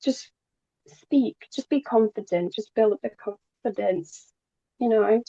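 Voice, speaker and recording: A woman's voice: a little high, slow pace, gravelly, warm tone, slightly rough, dry recording, highs cut off. A young woman from Poland who moved to England as a child, a native Polish speaker chatting casually as an interview guest, sometimes stumbling over her words. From Poland, moved to England at ten. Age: young adult. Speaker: podcast guest, pageant queen.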